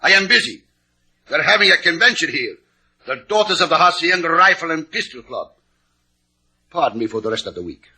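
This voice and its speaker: A florid hotel keeper in an old radio show. His piercing, raspy voice swings up and down through theatrical, flowery phrases. He is excitable and slippery. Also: on the high side, brisk, long pauses, exaggerated voice. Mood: evasive, flustered. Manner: flowery, theatrical, speaks of himself by name. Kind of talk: 1950s radio drama acting.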